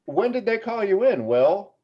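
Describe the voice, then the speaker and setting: A man plays an eager little male tabloid reporter with a notably high voice. He pushes and pesters insistently, as in a script read. The sound is slightly dull and muffled, like a video call. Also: sing-song, normal speed. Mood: pushy, eager. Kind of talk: screenplay table read acting.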